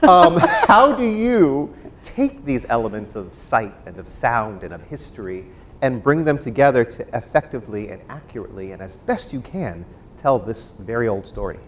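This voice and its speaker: A man's voice: mid-range voice, big pitch swings, normal speed. A man moderating a panel discussion in a conversational style, putting questions to the guests. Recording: live room with faint noise.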